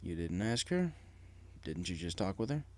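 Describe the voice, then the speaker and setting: A man's voice: low-pitched, very animated, normal speed, no room sound, voice pushed down. A man voicing a frank, supportive pony workmate in a storybook, direct and probing. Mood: probing, concerned. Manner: direct, probing, plain-spoken. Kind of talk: storybook character reading.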